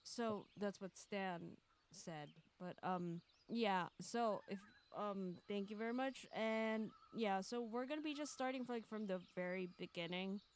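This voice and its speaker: A woman's voice: mid-range voice, clean tone. A woman hosting a stream in casual chat, rambling and hesitating as she goes. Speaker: streamer hosting a group visual novel stream.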